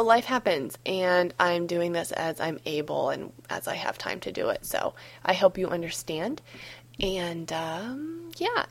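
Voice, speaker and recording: A woman hosting a gardening podcast who has a cold and sounds slightly hoarse, talking in a relaxed, chatty way with frequent ums. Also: fairly low pitch. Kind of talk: solo podcast advice talk.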